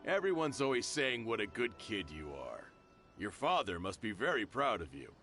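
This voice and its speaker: A man's voice: lowish voice, big pitch swings, normal speed, bright, forceful tone. A man playing a genial, self-deprecating middle-aged shopkeeper and father in a video game. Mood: generous, insistent. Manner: friendly, familiar, insistent. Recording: music under the voice.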